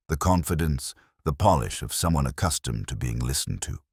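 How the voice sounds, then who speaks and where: A man with an extremely low voice narrates a brooding literary mystery in the first person. His delivery is measured and solemn, yet his pitch moves expressively through each phrase. The sound is dry and close, with very little room around it. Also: no echo. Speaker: audiobook-style narrator of a detective story.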